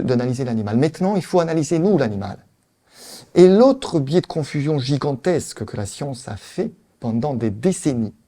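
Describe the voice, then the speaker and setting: A middle-aged man with an Italian accent, giving a conference lecture as a veterinary specialist, animated and full of rhetorical questions. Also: mid-range voice.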